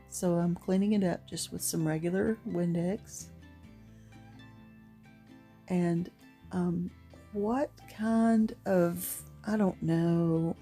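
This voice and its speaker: A middle-aged woman with a gruff voice giving a chatty, rambling, unhurried voice-over for a craft tutorial. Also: fairly low pitch, mellow. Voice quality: gruff, gravelly. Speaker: craft vlogger.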